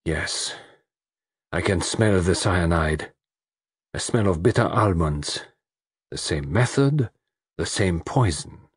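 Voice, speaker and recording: A man voicing a commanding European detective with a French accent, speaking in a deliberate, theatrical, precise way in an audiobook. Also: sits low, lively intonation, slightly rough, dry recording, studio quality, full character voice. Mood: analytical.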